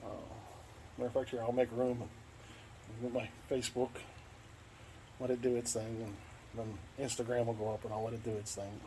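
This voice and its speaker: A man's voice: medium pitch, completely flat delivery, moderate pace, long pauses, dark tone, poor audio. An American man giving a casual, unscripted walkthrough in a folksy, rambling way, often repeating himself. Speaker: vlogger showing his truck radio install. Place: outdoors in and around a parked truck. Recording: clear background noise, handheld outdoor video.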